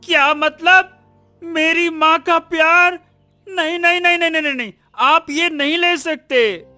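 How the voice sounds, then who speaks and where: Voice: extremely high pitch, measured pace, sharp tone, no echo, faint music behind, full character voice. A performer voicing Raju, an impulsive, emotional young son in a cartoon moral story, often repeating words. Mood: shocked. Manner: stammering, repeats words when anxious. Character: Raju, greedy boastful young man turned desperate, remorseful son.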